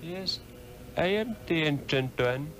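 An actor playing an ancient, stern Tibetan sorcerer in a solemn, measured, oracular way in a 1960s radio drama. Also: male voice, fairly high pitch, expressive, a bit bright. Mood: solemn, patient. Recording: old radio recording with music.

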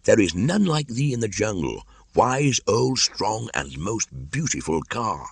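A man's voice: fairly low pitch, sing-song, a little slow, creaky, breathy edge, dry recording, pitched above the performer's own voice, exaggerated voice. A man voicing a brave, earnest young jungle boy in a storybook, speaking in a bold declamatory way. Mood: flattering, eager.

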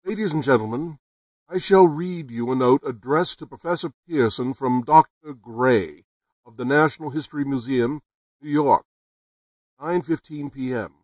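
A man's voice: medium pitch, moderate pace, darkish tone, no echo, distant mic, close to an everyday voice. A man acts out an earnest radio news reporter in an old-time radio drama. He announces formally, as if live on location. The sound is dry and a little away from the microphone. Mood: formal, announcing. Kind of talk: 1930s radio drama acting, live broadcast commentary.

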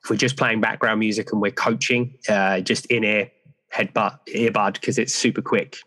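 Male fitness coach guest, deeper low voice, easygoing, rambling delivery. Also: moderate pace. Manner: relaxed, rambling with fillers like "so yeah". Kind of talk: casual interview chat.

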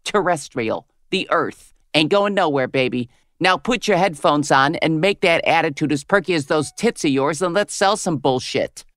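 A woman in her fifties playing a crude, cynical radio host with a smoker's gravelly voice in a comedy audio drama. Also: sits low, animated, moderate pace, hardly any pauses, fairly bright tone, no room sound, studio quality. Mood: pushy, profane. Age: 50s. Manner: brash, foul-mouthed, switching to syrupy on air. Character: crude, cynical, hard-bitten 57-year-old female radio host.

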